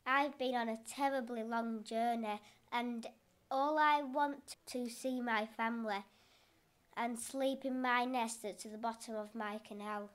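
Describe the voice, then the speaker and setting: A woman's voice: a little high, steady tone, measured pace, close to an everyday voice. A woman voicing a small, nervous young fish who is far from home, speaking in a timid, earnest manner.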